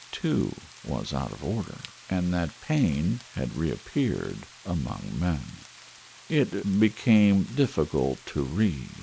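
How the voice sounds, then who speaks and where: A man narrating a story as a sleep audiobook in a measured, dramatic literary style with deliberate pauses. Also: sits low, low-quality recording. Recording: clear steady rain hiss behind voice. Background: rain sounds.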